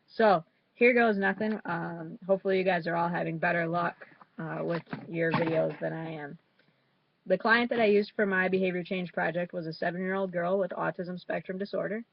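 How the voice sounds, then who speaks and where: A woman's voice: on the low side, steady tone, normal speed, vocal fry, lo-fi sound. A young woman with a head cold and a stuffy nose, informally presenting a student project report with some hesitant fillers.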